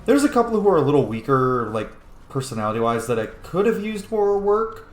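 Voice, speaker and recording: A man's voice: on the high side, big pitch swings, brisk, slight background noise. A man giving casual, sweary gameplay commentary over a video game. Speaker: gaming video host. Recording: roomy echo, game music behind. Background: video game music.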